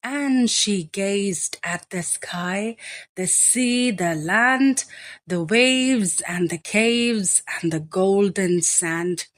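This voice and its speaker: A woman reading a rhyming picture book aloud as a bedtime story, slow, gentle and rhythmic. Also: mid-range voice. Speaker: storybook reader.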